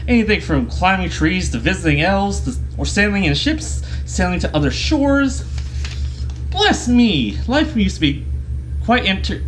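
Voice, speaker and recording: Man putting on a high-pitched, flustered hobbit homebody. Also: sing-song, a little slow, soft background music, low-quality recording. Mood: excited, flustered. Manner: flustered stammering, prim and chatty. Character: fussy, excitable, polite middle-aged male hobbit homebody.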